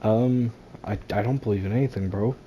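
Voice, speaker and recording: A woman's voice: extremely low pitch, fairly quick, dark tone, dull audio, slight background noise. A woman giving casual, sarcastic, slangy gameplay commentary with plenty of swearing. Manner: sarcastic, foul-mouthed, slangy. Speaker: game streamer.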